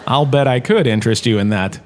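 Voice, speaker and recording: A man's voice: mid-range voice, fairly quick, a little hiss or hum, close to an everyday voice. A man playing a washed-up showbiz star turned university lecturer, giving a chatty, jokey scripted lecture in a comedy audio drama. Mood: salesman-like enthusiasm. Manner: chatty, jokey, showman-like salesman patter.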